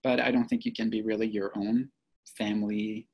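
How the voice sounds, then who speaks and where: Creaky-voiced man. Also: fairly low pitch, flat delivery, moderate pace, darkish tone. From French-speaking Belgium. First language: French. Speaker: podcast guest, psychotherapist. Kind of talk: reflective interview answers.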